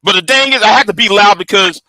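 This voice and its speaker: A man on a live panel stream, loud and repetitive, talking over the others. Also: high-pitched, fast talker, crowd noise. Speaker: guest. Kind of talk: heated panel debate.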